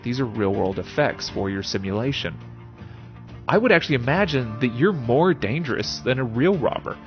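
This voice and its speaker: A man delivers an explanatory monologue over faint music. His pitch swings widely as he leans on key words with theatrical emphasis and checks in with rhetorical questions. The recording is a little dull and lo-fi. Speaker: host of a philosophy talk series. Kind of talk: explanatory philosophy monologue, conversational asides. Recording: dull lo-fi sound.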